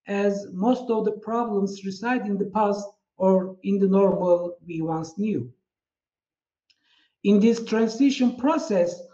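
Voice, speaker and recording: A man from Turkey with a Turkish accent, a native Turkish speaker, giving a prepared conference keynote in a measured way as he reads from his slides. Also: high voice, mellow. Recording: muffled, echoey room.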